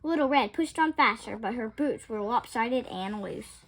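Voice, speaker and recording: A woman reading a children's picture book aloud with expressive, dramatic storytelling. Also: a little high, moderate pace. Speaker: storytime reader.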